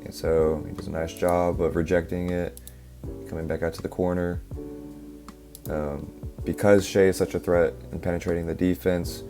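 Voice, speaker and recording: A young man, a little hoarse from a cough, casually breaking down basketball plays with lots of fillers. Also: low-pitched, moderate pace. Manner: relaxed, filler-heavy, explaining step by step. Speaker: basketball analysis creator. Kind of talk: casual play-by-play film breakdown. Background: background music.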